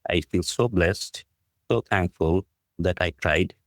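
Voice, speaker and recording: Very low-pitched Bangladeshi-accented man. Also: slow, no room sound, clean studio sound. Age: middle-aged. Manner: earnest, appreciative, frequent "like, you know". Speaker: podcast guest, business school dean. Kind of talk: conversational interview answer, telling personal story.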